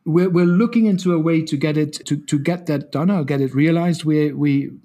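Husky, mellow-toned man telling stories. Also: normal speed. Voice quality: says he has a deeper voice. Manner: animated, laughs often, many restarts. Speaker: guest, co-founder of a school. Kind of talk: conversational interview storytelling.

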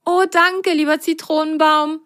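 A woman's voice: expressive, measured pace. Woman playing a chirpy little girl sock. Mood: cheerful. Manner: bright, high, playful child voice.

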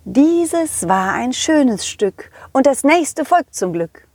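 A woman's voice: sits high, very animated, slow pace, a little mellow, slightly rough, dry recording. A woman puppeteer narrating for children, speaking to them directly and playfully. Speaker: puppeteer and storyteller.

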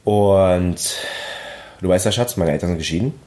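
A man's voice: sits low, normal speed, mellow. A young man with a Berlin accent chatting casually with his partner, brash and self-assured. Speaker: content creator.

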